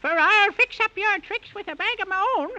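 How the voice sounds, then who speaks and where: Shrill, wicked old witch. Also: moderate pace, pushed and bright, full character voice. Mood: menacing. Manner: theatrical, cackling, speaks in rhyme. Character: wicked old witch, vengeful, threatening and dramatic. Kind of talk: 1930s radio acting for children, in rhyme. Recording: muffled lo-fi 1930s radio recording.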